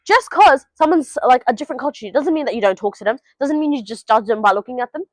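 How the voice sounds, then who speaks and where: A woman's voice: high voice, lively intonation, fast, no room sound. A teenage woman, giving blunt, sweary advice straight to camera in a casual vlog recorded at home. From Australia. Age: teens. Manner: blunt, swears freely, talks straight to the viewer. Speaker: vlogger.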